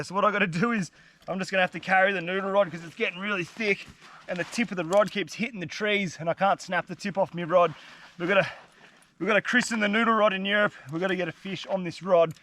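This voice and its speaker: Blocked-up vlogger, high-voiced. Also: male voice, steady tone, fast, bright, forceful tone. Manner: laid-back, chatty, slangy. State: congested, blocked-up nose. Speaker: fishing vlogger. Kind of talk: casual outdoor vlog to camera. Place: dense humid forest, outdoors.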